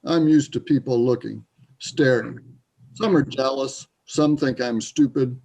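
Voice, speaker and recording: A man's voice: medium pitch, unhurried, vocal fry, dark tone, slightly rough. A man playing a drunk, belligerent bodybuilder bully in a readers theater piece, taunting and confrontational. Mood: bitter, taunting. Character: drunk, belligerent, self-loathing bodybuilder bully in a small town. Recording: dull, muffled sound.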